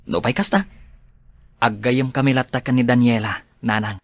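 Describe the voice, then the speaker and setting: Radio drama lead: man playing protective, earnest Ilocano male friend, mid pitch, apt to scold. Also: fairly even intonation, normal speed, no echo, studio quality, natural voice. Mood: calm, earnest. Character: protective, exasperated man, godfather-like friend to his ex.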